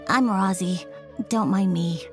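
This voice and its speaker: A woman's voice: medium pitch, slow, soft background music, lightly acted. A woman voicing a shy, polite little child who speaks hesitantly in a video game. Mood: tearful, brave.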